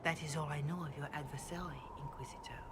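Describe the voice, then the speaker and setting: An actress voicing a devout, grave priestess in a video game, speaking in a measured, reverent way. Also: female voice, low-pitched, steady tone, noisy background, close to an everyday voice. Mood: reflective. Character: devout, grave chantry priestess, calm and counselling. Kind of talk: video game dialogue, scripture recitation.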